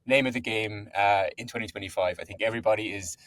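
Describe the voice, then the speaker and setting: A man's voice: lowish voice, fast, fairly bright tone, no echo. A man in his thirties with an Irish accent, a podcast guest talking conversationally, often repeating words and adding asides. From Ireland. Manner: chatty, repeats words, says "to be honest". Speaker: podcast guest, software co-founder. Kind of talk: conversational interview.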